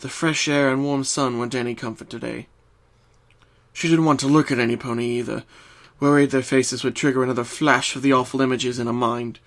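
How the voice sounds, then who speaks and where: A man's voice: medium pitch, fairly even intonation, fairly bright tone. Man narrating a story dramatically. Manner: solemn, deliberate storytelling with dramatic emphasis.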